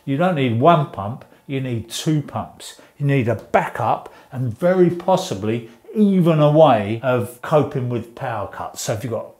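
A man in his fifties presents practical building advice in an informal, explanatory way. His voice sits at an average pitch, and he leans on key words by repeating them. He talks as if teaching at a whiteboard in a room. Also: darkish tone. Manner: conversational, explanatory, emphatic repetition. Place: small indoor room, in front of a whiteboard.

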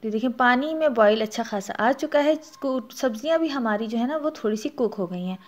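Woman, Pakistani Urdu accent, cooking host, step-by-step recipe narration, slightly high voice. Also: lively intonation, fairly quick. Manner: explanatory, instructive, gives practical tips.

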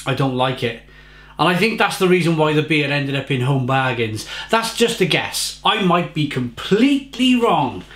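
A man's voice: a little high, sing-song, moderate pace, fairly bright tone, roomy sound. A man, casually reviewing a beer at home and often repeating his words. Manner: chatty, repeats words, gestures with hands. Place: home kitchen, indoors. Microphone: fixed camera at desk.

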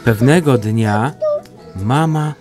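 A man's voice: mid-range voice, takes it slowly, darkish tone, soft background music. A man narrating a scripted fairy tale as the storyteller of an audio drama.

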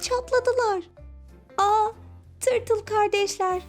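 A woman narrator for children's stories with a high voice. She tells the scripted tale expressively and addresses kids directly, over clearly audible music. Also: slow pace. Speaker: narrator of a children's story channel.

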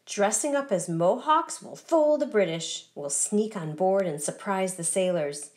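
A woman voicing an excitable little mouse with exclamatory, animated delivery in a children's picture book. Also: medium pitch, a little slow, natural voice. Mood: eager. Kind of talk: children's picture book read-aloud dialogue.

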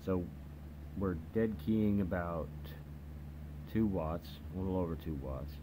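Man, very low pitch, informal demo style, hesitant delivery, noisy indoor recording. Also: measured pace. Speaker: hobbyist radio reviewer. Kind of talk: casual product demonstration. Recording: noisy home video recording. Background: clear background noise.

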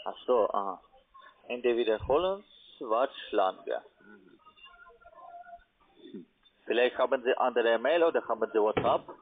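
A man with a foreign accent in German, a non-native speaker giving instructions in halting, broken sentences on a phone call. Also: mid-range voice, animated. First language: not German. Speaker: phone scam caller posing as payment agent. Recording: muffled narrowband phone line.

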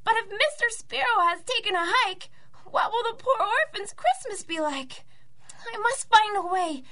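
A woman's voice: extremely high pitch, lively intonation, normal speed, pushed and bright, exaggerated voice. A woman playing an earnest, anxious young boy in a rhymed radio drama, with a plaintive manner. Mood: worried, determined. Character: earnest, anxious young orphan-minded boy seeking heroes.

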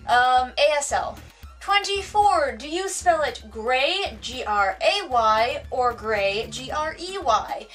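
Wry, high-voiced woman with a Wisconsin accent. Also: lively intonation, slow pace, a bit bright, distant mic, faint music behind. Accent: Wisconsin American. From United States. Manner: wry, reading questions aloud then answering off the cuff. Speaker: vlogger. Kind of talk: casual question tag vlog. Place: home room with bookshelves, indoors. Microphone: camera mic at a distance.

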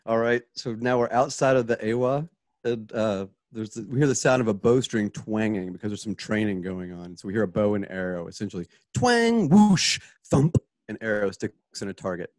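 A man's voice: fairly low pitch, animated, normal speed, darkish tone, no room sound, studio quality. A man narrating stage directions for a radio play in an informal, conversational way as host.

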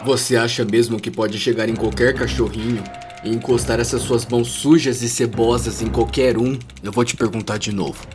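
A man's voice: medium pitch, fairly even intonation, normal speed, hardly any pauses, close to an everyday voice. A man with a Brazilian accent voicing a menacing, vengeful muscular dog villain, theatrical and foul-mouthed, in an over-the-top cartoon dub. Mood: angry, accusing. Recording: loud music behind voice.

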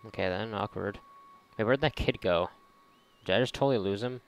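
A man's voice: fairly low pitch, fairly quick, a little hiss or hum. A man giving casual let's play commentary in a sarcastic, joking and profane manner. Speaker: gamer, video creator. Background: game music.

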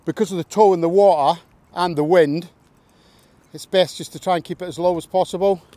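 A man's voice: sits high, steady tone, fairly quick, dark tone, no echo. A man, casually explaining a hands-on technique as an angler outdoors. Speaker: angler demonstrating tackle. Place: outdoors at a fishing lake.

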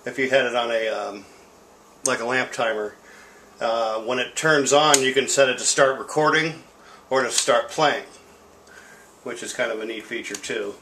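A man's voice: mid-range voice, normal speed, pauses often, bright, forceful tone, slight background noise, poor audio. A middle-aged man giving a casual, unscripted equipment demonstration in a rambling, self-deprecating way. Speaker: tape deck seller demonstrating equipment. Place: indoor room at home. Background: music from record and tape playback.

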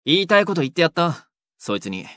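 A man's voice: on the high side, sing-song, quick pace, bright, forceful tone, no room sound, clean studio sound. Man acting prickly young delinquent, swinging intonation. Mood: irritated. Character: flustered, defensive young delinquent man hiding a crush. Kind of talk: Japanese boys' audio drama.

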